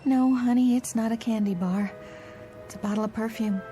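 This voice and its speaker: A woman plays an earnest, ordinary working gal in a radio drama. Her voice sits very high, plain and friendly, a little wistful and halting, with music clearly behind it. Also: steady tone, lightly acted. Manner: plain-spoken, wistful, hesitant.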